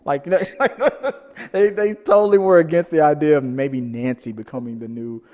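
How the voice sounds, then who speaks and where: A man's voice: on the high side, steady tone, moderate pace, a little mellow. A man hosting a film discussion podcast in a casual, rambling, jokey way, often searching for words. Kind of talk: casual chat. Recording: long hall-like reverb.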